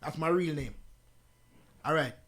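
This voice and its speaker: Jamaican-accent guy chatting easy on an interview show, a bit high pitched, patois mixed in. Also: a little slow. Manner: relaxed, storytelling, patois-laced. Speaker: guest artist. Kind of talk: casual interview chat.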